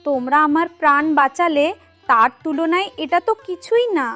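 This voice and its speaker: A woman voicing a kindly young magical fairy in a cartoon story, gentle, sweet and reassuring. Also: sits high, expressive, moderate pace, over music. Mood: grateful, generous. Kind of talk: cartoon moral story acting.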